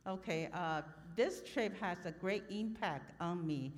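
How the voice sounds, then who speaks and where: Female church interpreter, lowish voice, hesitant and filler-heavy, long hall reverb. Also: takes it slowly.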